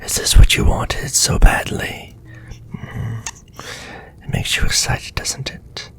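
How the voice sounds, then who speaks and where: A man voicing a sly, sadistic warlock villain in a flirtatious ASMR fantasy roleplay, with a slow, teasing, half-whispered delivery. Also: fairly bright tone. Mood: menacing seduction.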